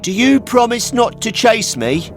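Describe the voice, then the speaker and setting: Eager high-pitched little puppet boy. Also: sing-song, measured pace, soft background music, full character voice. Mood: wary, curious. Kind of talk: children's storybook character voice.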